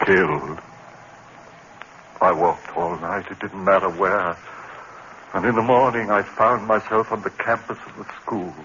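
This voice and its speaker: Haunted lecturer going mad, crisp bright voice, brooding, cries out, old radio drama, lo-fi with faint hiss. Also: male voice, on the low side. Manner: brooding narration, breaking into frantic cries. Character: tormented male lecturer sliding into madness. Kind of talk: 1940s radio acting.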